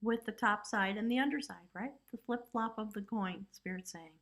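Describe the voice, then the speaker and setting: A woman's voice: medium pitch, normal speed, fairly bright tone. A woman giving a casual spiritual tarot reading, talking chattily with lots of "you know" and "right?" asides. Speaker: tarot reader.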